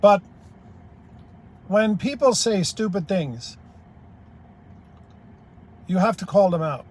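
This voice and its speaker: A man's voice: high-pitched, animated, takes it slowly. An older man from Ireland giving a blunt, plain-spoken, rambling opinion monologue as a YouTube commentator.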